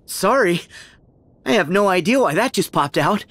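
A man's voice: high-pitched, animated, normal speed, a bit bright, no echo. A man voicing a scrappy, earnest teenage boy hero in a video game, casual and impulsive. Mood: earnest, sheepish. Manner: casual, boyish. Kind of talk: animated video game acting.

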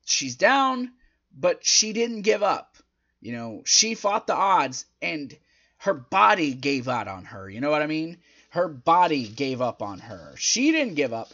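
A man's voice: medium pitch, sharp tone, breathy edge, lo-fi sound. A young man giving a casual, opinionated review in an emphatic, conversational way, his throat sounding a little strained. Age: young adult. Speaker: wrestling review host. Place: small indoor home room. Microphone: desk microphone close in front.

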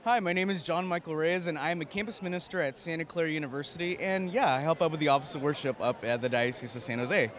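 A man's voice: a little high, fast talker, few pauses, fairly bright tone. A man in his thirties, a campus minister, answering interview questions enthusiastically into a handheld mic in a large arena. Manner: enthusiastic, effusive. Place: large indoor arena floor. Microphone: handheld mic held by interviewer.

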